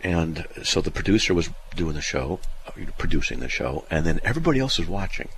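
A man's voice: sits low, very animated, fairly quick, a bit bright, slight background noise. A middle-aged man hosting a call-in radio show, telling stories in a folksy, self-deprecating way. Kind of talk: casual call-in radio chat.

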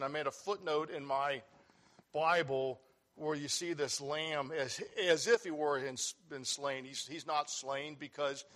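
Preacher, male, loud and earnest, teaching scripture, voice sits a bit high. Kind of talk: sermon, Bible teaching.

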